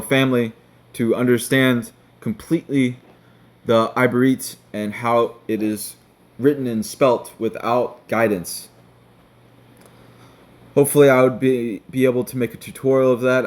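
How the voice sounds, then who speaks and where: Slow-talking man teaching on screen. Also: mid-range voice. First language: not Hebrew. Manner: slow, checking in with "okay?". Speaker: online teacher. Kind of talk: screen-share tutorial.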